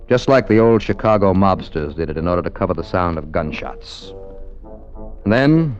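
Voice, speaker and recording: A wry, dryly joking investigator voiced by a man, telling his case in 1950s radio style. The voice sits low, on a dull lo-fi recording with faint music behind. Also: natural voice. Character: wry male insurance investigator, narrating. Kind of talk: 1950s radio drama narration.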